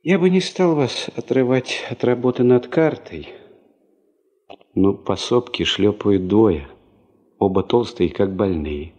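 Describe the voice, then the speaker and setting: A folksy, joking old-time prospector in a seventies Soviet radio play. His voice is husky and mellow, swinging widely as he spins mock-grand phrases. The tape sounds a little dull. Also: on the low side, animated, takes it slowly. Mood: bragging, folksy. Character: chatty, wry working man, ex-sailor and prospector.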